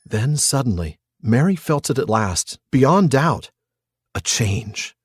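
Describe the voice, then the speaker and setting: A man's voice: medium pitch, sing-song, takes it slowly, breathy edge, no room sound. A male podcast host with a mid-range voice. He talks casually or reads fantasy prose with drama, recorded close and dry.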